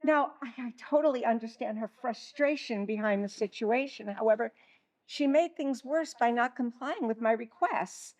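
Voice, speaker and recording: A woman playing an earnest, flustered schoolteacher in a stage play, speaking diplomatically with halting repetitions. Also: fairly high pitch, a little mellow, natural voice. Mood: aggrieved, careful. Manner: hesitant, stammering, diplomatic.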